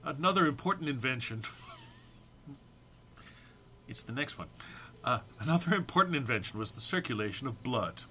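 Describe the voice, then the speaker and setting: A man's voice: mid-range voice, expressive, normal speed, long pauses, sharp tone. A man giving a theatrical, amused dramatic reading of a humorous written text, with emphatic phrasing. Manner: amused, prone to breaking into laughter. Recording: faint background noise.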